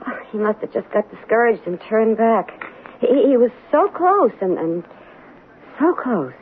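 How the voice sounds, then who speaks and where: An anxious housewife, speaks with wide, emotive swings of pitch. She is sympathetic and halting, repeating herself in old radio drama style. The broadcast is narrow, with faint noise. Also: sing-song. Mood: pitying, distressed.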